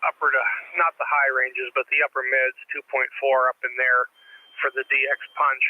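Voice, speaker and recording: A man's voice: mid-range voice, flat delivery, normal speed. A man with a thin, tinny voice chatting casually as an amateur radio operator over a radio link. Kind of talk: casual ham radio chat. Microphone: studio dynamic mic into a radio transceiver. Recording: tinny narrow-band radio link with static.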